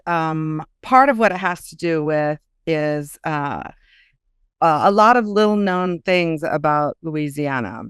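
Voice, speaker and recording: A woman's voice: fairly low pitch, a bit bright, clean tone, no room sound, studio quality. A woman talking casually as a guest author in an interview, thinking aloud in a chatty, hesitant way.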